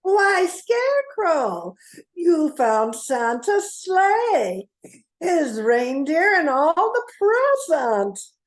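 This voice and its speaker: Lady doing earnest young girl heroine, high voice, takes her time, echoey room, radio play. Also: big pitch swings, extremely slow, heavily acted. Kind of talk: amateur radio play acting.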